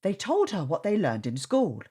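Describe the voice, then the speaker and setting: A woman reading a storybook aloud to children in an expressive, sing-song way. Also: fairly low pitch, normal speed, breathy edge. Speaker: storytime reader. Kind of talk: children's read-aloud narration.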